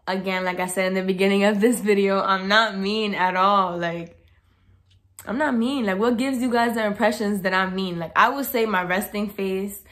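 A young woman in her twenties speaking straight to a camera in her bedroom. She talks casually and bluntly, gesturing with her hands, at a middle pitch. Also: normal speed. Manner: blunt, outspoken, animated with hands. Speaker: vlogger. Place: bedroom, indoors.

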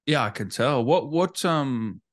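A man's voice: mid-range voice, animated, measured pace, dry recording, clean studio sound. A man hosting a casual interview, asking questions in an informal, chatty way with tag questions. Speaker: interviewer, podcast host.

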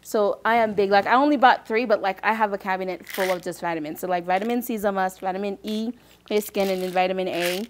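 A woman's voice: medium pitch, quick pace, background noise. Chatty woman in her thirties from Haiti. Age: 30s. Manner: chatty, animated, lots of "like". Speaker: talk show co-host. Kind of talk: casual chat. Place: TV studio set, seated on sofa.

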